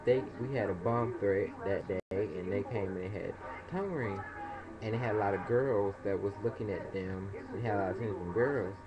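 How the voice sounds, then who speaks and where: A young man, casually telling a story in a vlog and acting out other people's voices. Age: young adult. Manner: animated, acts out other people's voices in high pitch. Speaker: vlogger. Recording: dull lo-fi webcam audio.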